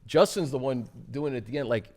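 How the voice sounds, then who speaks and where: A man's voice: medium pitch, fairly quick, a little mellow. Casting: male sports talk host, mid-range voice, conversational football chat.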